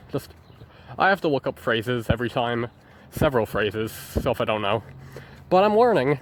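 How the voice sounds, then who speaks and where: A man's voice: medium pitch, a little hiss or hum, poor audio. Rambling young man with restarts. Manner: rambling, hesitant, many restarts and fillers. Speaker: vlogger. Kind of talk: rambling journal vlog.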